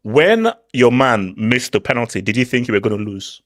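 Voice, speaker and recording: Lively man host, bursts of repeated words. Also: mid-range voice, moderate pace, dry recording, studio quality. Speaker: football channel host. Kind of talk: casual football reaction chat.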